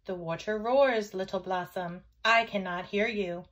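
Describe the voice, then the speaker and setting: A woman's voice: mid-range voice, a little slow, fairly bright tone. A woman voicing a stern, easily exasperated mother, scolding in formal, emphatic phrases in a storybook reading. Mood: calling back.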